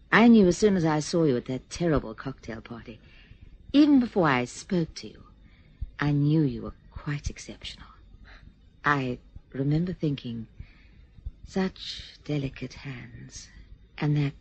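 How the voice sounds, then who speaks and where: An actress playing a refined, flirtatious English society lady who speaks in a gushing, affected, breathy way. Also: deep voice, sing-song, a little slow, long pauses, a little mellow, exaggerated voice, rough recording. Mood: admiring. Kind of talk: stage drama acting.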